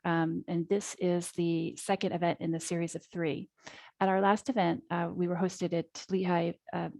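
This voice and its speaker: A woman presenting to a webinar audience in a low, flat voice, stopping often to restart her sentences. The sound is dry and close, with no room around it. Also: dry recording. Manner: halting, many fillers and restarts. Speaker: community group co-facilitator.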